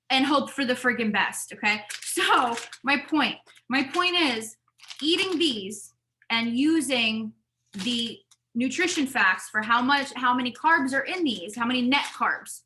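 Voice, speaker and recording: Woman vlogger, voice fading, rambly and chatty, bright tone. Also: fairly high pitch, a little hiss or hum, low-quality recording. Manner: rambling, talkative, animated asides. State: losing her voice. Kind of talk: casual chatty product review.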